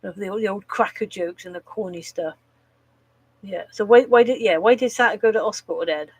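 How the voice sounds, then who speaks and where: A woman's voice: medium pitch, brisk, breathy edge, no echo, rough recording. A woman with a slightly nasal voice from a cold, casually live-streaming. She tells corny jokes, giggles at them and swears now and then. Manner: giggles at her own jokes, swears casually, groans at bad puns.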